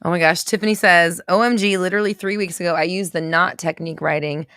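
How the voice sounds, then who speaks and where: A woman in her thirties hosting a casual livestream, reading viewer comments aloud and reacting with quick laughs and exclamations. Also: on the low side, fairly even intonation, a bit bright, clear, resonant tone, no room sound, clean studio sound. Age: 30s.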